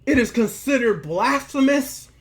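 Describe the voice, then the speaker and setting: A man lecturing like a preacher, speaking deliberately and emphatically, with repetition and rhetorical questions. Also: extremely high pitch, expressive. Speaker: occult lecturer.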